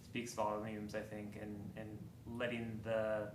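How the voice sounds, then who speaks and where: A man's voice: fairly low pitch, completely flat delivery, slow. A man on a panel who speaks thoughtfully, with some hesitation. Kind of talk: panel discussion. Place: event hall. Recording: echoey hall, noisy.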